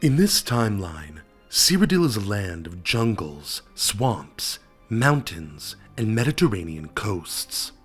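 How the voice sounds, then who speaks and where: A man hosting a lore video, narrating from a script with a stuffy voice from a cold and dramatic pauses. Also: lowish voice, big pitch swings, a bit bright, husky, faint music behind. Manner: enthusiastic storytelling with dramatic pauses. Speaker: video essay host.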